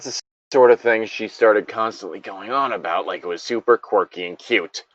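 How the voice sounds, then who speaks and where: A man's voice: lowish voice, moderate pace, fairly bright tone, lo-fi sound. A man co-hosting a read-aloud with casual, sweary, self-deprecating commentary. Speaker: co-host reading a story aloud.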